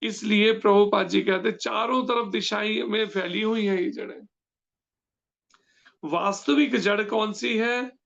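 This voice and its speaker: Man teaching a scripture class, high gritty voice, asks the room questions as he goes, dull lo-fi sound. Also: creaky, fairly bright tone. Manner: explains slowly, quizzing listeners with questions. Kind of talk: devotional scripture lecture.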